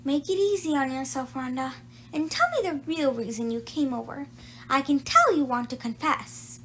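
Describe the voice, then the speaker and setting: A woman's voice: high voice, moderate pace, a bit bright, slight background noise. A woman voicing an eager, pushy young girl detective in a storybook, insistent and dramatic. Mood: pressing.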